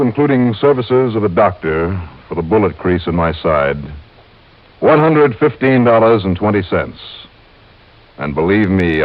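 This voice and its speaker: An actor playing a cool, wry insurance investigator, dry and laconic, in an old-time radio detective drama. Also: male voice, deep voice, heavily acted. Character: cool, wry male insurance investigator narrating his case. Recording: dull old radio recording.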